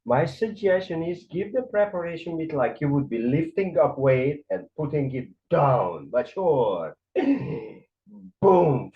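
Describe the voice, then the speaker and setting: A man with a Hungarian accent teaching conducting, explaining the beats and sometimes counting or singing the rhythms aloud. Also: on the high side. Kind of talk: explanatory music lesson. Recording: dull lo-fi sound.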